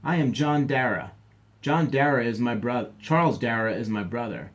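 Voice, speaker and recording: Man doing an earnest colonial kid from a storybook, polite, a bit raised in pitch. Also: expressive, normal speed, natural voice. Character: earnest young colonial patriot boy.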